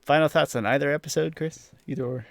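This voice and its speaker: A man's voice: a little high, fairly even intonation, fast talker, vocal fry, dry recording, clean studio sound. A man hosting a podcast, chatting casually through a review in a rambling, hedging way. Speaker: podcast host.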